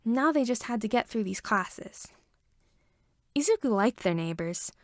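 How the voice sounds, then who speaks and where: A woman's voice: fairly high pitch, expressive, a little slow, no echo, studio quality. A woman reading a story aloud as scripted narration. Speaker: fan fiction reader.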